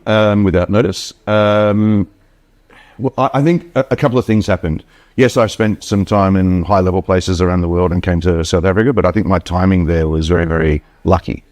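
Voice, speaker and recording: Very deep-voiced Australian man, dry sound. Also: darkish tone, no echo. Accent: Australian, with slight Afrikaans and southern British touches. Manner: rambling, many ums and restarts. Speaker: wine importer guest. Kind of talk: casual interview chat. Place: hotel room.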